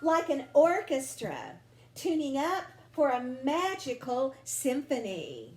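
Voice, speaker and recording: A woman reading a children's picture book aloud expressively, drawing out words with deliberate, dramatic phrasing. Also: high-pitched, very animated, a little mellow. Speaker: storybook reader. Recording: roomy home recording with faint noise.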